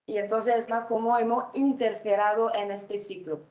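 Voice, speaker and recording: A woman's voice: on the high side, little pitch movement, normal speed, darkish tone. Woman, French accent, presentation talk, hesitant with many fillers, roomy reverberant hall. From France. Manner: hesitant, many fillers, non-native phrasing. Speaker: speaker at a panel. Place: conference hall, standing before a screen. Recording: echoey conference hall.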